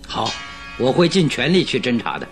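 An actor playing a self-assured, blunt master detective in a radio drama, composed and terse. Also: male voice, medium pitch, wildly swooping pitch, moderate pace, faint music behind. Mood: pensive. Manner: terse, composed, dryly dismissive.

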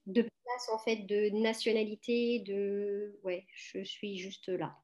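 A woman's voice: mid-range voice, little pitch movement, slow pace, darkish tone. A middle-aged woman talking casually and reflectively, with many fillers and restarts. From France. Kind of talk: casual conversation.

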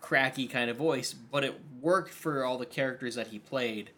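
A man co-hosting a film podcast, explaining voice-casting trivia in a relaxed, knowledgeable way. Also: medium pitch, moderate pace. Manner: explanatory, knowledgeable about voice acting. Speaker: podcast co-host. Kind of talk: casual chat about films.

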